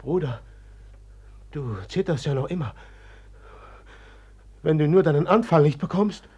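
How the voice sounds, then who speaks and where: Husky, slow, haunted murderer. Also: male voice, on the high side, mellow, muffled recording. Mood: anxious, tender. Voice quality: husky, breathy. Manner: halting, secretive, dazed. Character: haunted, brooding murderer, feverishly confiding in his friend. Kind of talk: German literary radio drama acting.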